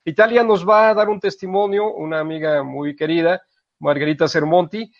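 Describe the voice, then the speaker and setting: A man, a priest co-hosting a radio program, speaks thoughtfully with frequent hesitant pauses. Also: a little high, fairly even intonation, dry recording. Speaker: priest co-host. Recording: dull dry radio studio audio.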